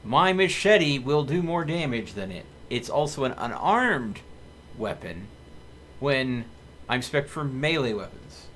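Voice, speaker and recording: Man with lively, swinging pitch streaming games. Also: takes it slowly. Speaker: game streamer. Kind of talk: casual live gameplay commentary. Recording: faint background noise.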